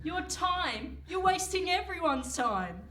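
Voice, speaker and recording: A woman's voice: extremely high pitch, big pitch swings, slow, bright, forceful tone, exaggerated voice. A woman acting as an arch, matter-of-fact narrator in a comic radio play performed live. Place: hall stage before a live audience. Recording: faintly noisy live stage recording.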